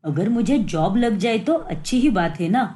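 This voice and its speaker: A woman's voice: mid-range voice, animated, normal speed, dark tone, lightly acted. A woman voicing a deceitful, self-pitying young husband in a cartoon moral story, with a dramatic, whiny delivery.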